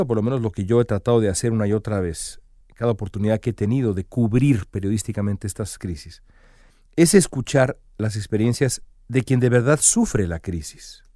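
A man's voice: mid-range voice, warm tone, no room sound, studio quality. A man delivering earnest, emphatic news commentary as the show's host.

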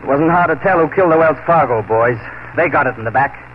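An actor playing a steady, fearless western lawman who talks in a clipped, challenging way in a 1940s radio drama. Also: on the high side, fast, lightly acted. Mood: taunting. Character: steady, fearless frontier lawman, blunt and taunting. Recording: muffled, noisy 1940s radio broadcast.

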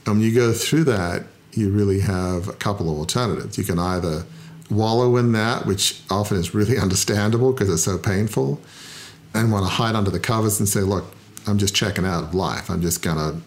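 Thoughtful low-voiced middle-aged man. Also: a little hiss or hum. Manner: thoughtful, self-deprecating, frequent "uh" pauses. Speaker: founder and interview guest. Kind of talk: reflective interview conversation.

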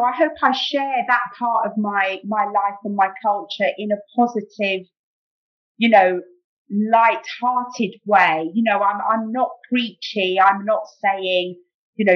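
A woman's voice: medium pitch, steady tone, measured pace. A middle-aged British woman chatting as an interview guest, peppering her talk with "you know". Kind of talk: casual conversational interview. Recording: muffled, dull audio.

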